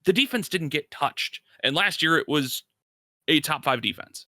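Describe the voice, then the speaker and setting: Bright, forceful male sports podcast co-host. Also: a little high, animated, normal speed, no echo, studio quality. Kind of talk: casual sports talk, analysis.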